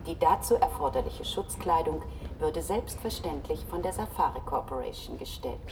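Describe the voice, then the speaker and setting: An actress playing a polite, unyielding travel service machine in a German science-fiction radio drama, formal and formulaic. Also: female voice, sits low, big pitch swings, normal speed, gravelly, distant mic, noisy background, heavily acted. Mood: courteous, unyielding. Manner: formal, formulaic, repeats set phrases.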